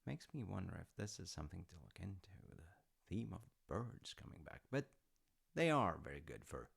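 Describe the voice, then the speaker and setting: A man playing an easygoing dad in an audio roleplay, telling a story conversationally with wry, hesitant asides and a gravelly voice. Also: sits low, sing-song, moderate pace, exaggerated voice. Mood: amused, storytelling. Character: easygoing dad telling his grown child Norse myths.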